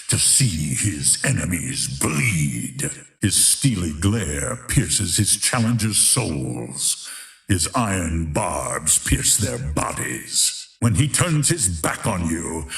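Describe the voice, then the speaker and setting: A man playing a grandiose, menacing tournament announcer in a video game, narrating in a theatrical, emphatic, drawn-out style. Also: sits low, vocal fry, roomy sound, exaggerated voice. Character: grandiose, menacing male fighting-tournament announcer of epic legend. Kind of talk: dramatic scripted fighting-game narration.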